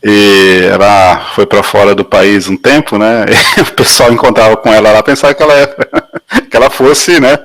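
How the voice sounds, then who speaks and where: A man's voice: on the low side, steady tone, normal speed, fairly bright tone. A man chatting casually on a video call in a friendly way, often laughing and addressing people as "cara". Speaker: study group participant. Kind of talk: casual chat.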